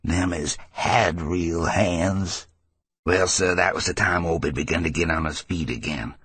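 A man voicing a hysterical, frightened old New Englander with a rasping voice and rambling rural dialect, in an audiobook horror story. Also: extremely low pitch, fairly bright tone, no echo, heavily acted. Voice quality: gravelly, husky old man's rasp. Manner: rambling folksy dialect, cackling and fearful. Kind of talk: dramatized audiobook character voice.

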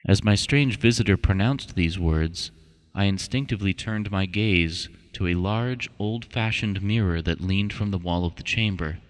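A man reading a scripted ghost story as an audiobook narrator, in a measured, formal, deliberate way. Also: sits low. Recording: long reverberant tail.

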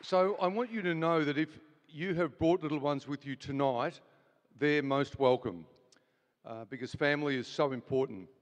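A man's voice: fairly high pitch, moderate pace. A man hosting a church event, welcoming the crowd and leading an opening prayer, earnest and full of small restarts. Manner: earnest, with frequent fillers and restarts. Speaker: event host. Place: large hall with live audience. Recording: reverberant large hall.

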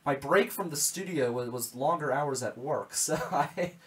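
A man's voice: a little high, moderate pace. A young man hosting a casual radio show in a small studio, talking loosely and repeating his words, his voice slightly strained. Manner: rambling, repeats words when starting sentences. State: slightly strained voice after nearly losing it. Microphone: studio mic on boom arm, with headphones.

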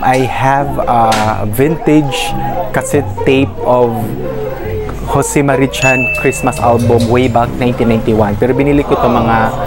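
A Filipino man in his thirties with a Filipino accent, presenting casually as a YouTube host and switching informally between two languages. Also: mid-range voice. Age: 30s. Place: home, room and open garden. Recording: music behind the voice. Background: loud music.

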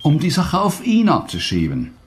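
An actor playing a dry, melancholy British commander in a German radio drama. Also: male voice, a little high, wildly swooping pitch, normal speed, a little hiss or hum. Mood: dry, composed.

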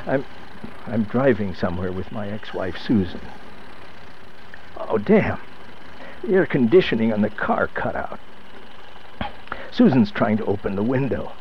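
A man's voice: on the low side, expressive, measured pace, long pauses, lo-fi sound, a little hiss or hum. An actor playing a nervous, weary divorced man with a hesitant delivery in a radio drama. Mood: frightened, panicky. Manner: hesitant, stammering.

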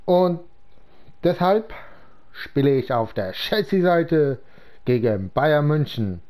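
A man with a slight cold narrates his own game match in a relaxed, halting way, leaving long pauses between short phrases. His voice sits a little high and his pace is very slow. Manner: slow, halting talk with many pauses and fillers. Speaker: gaming channel host. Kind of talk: casual gameplay commentary.

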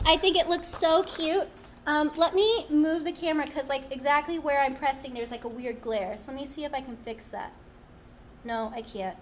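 A young woman hosting a live craft demo in a small studio, chatty and playful, with a high, girlish voice. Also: a little hiss or hum. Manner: chatty, playful, self-teasing. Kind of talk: live-streamed product demo. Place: small studio with branded backdrop, indoors.

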